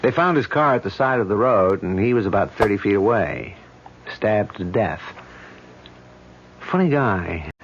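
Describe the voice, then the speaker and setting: An actor playing a self-assured, joking police detective, in a radio drama. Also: male voice, lowish voice, lively intonation, a little slow, pauses often, slight background noise. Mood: fond. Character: cocky male police detective. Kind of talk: 1970s radio drama acting. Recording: dull old radio recording.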